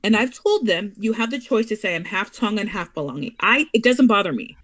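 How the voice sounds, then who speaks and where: An American woman talks as a radio guest, earnest and emphatic, slowing down to stress what matters to her. Her voice sits at an ordinary middle pitch. The recording is dry, with no room echo. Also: expressive, fairly bright tone. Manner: earnest, emphatic, speeds up and slows down to stress points. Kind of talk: casual interview chat.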